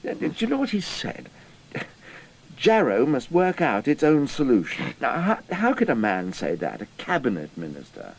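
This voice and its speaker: A man's voice: medium pitch, huge pitch swings, moderate pace, creaky, husky, heavily acted. An actor playing an idealistic, indignant young Cambridge man in a radio drama, earnest and hesitant, with an English accent. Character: idealistic, indignant young British Cambridge student turned spy.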